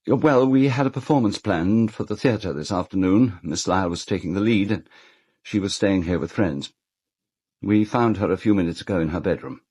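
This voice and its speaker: An actor playing a fussy, courteous middle-aged English gentleman in a radio drama, speaking formally and hesitantly. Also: low voice, husky. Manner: hesitant, formal, well-spoken. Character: fussy, courteous gentleman host of an island castle. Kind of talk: British radio drama acting.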